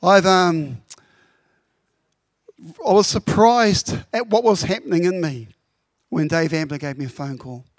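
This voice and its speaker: Brief: incoming pastor from Papua New Guinea, raised speaking Tok Pisin, fervent and heartfelt, slightly high voice, dry sound. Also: male voice, unhurried, pauses often, no echo. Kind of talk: address to congregation. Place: church.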